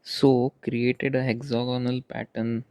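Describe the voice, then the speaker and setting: A man's voice: mid-range voice, little pitch movement, takes it slowly, dark tone, dry recording. A man narrating a maker project as an explanatory voice-over, in a plain, matter-of-fact way.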